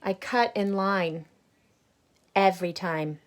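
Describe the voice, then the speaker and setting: A grumpy little male seed from a picture book, acted out by a woman, confesses his badness with some pride. The delivery is slow and emphatic, stretching out the key words. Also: mid-range voice, close to an everyday voice. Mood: defiant, boastful. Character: boastful, grumpy little male sunflower seed, self-declared bad. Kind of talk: picture-book character read aloud.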